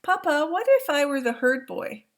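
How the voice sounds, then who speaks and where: Small worried boy in a read-aloud storybook, asking eager questions, high and bright, played by a grandmother. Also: sing-song, normal speed. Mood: pleading. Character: young Maasai boy, curious and anxious. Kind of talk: read-aloud picture book.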